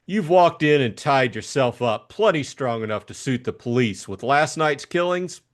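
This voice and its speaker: A man voicing a tough, cynical private detective in a crime audiobook, blunt, slangy and needling, with crisp delivery. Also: medium pitch, lively intonation, normal speed, voice pushed up, lightly acted. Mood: hard, needling.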